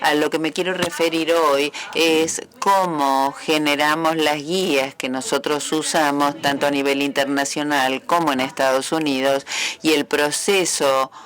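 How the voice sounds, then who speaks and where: A man interpreting a medical lecture into Spanish in a steady, fluent delivery. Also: on the high side, a little slow. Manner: steady, even, fluent delivery with "este" fillers.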